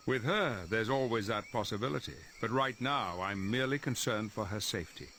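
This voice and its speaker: A wise team leader in a video game. His low voice is formal, measured and authoritative. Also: pushed and bright, slight background noise. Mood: calm. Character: composed, authoritative professor leading a hero team. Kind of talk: video game cutscene acting.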